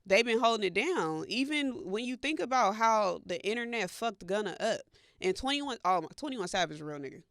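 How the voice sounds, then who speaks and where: A woman's voice: medium pitch, animated, brisk, fairly bright tone, no echo, clean studio sound. An American woman co-hosting a talk show, chatting casually in blunt, slangy language. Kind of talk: casual culture gossip chat.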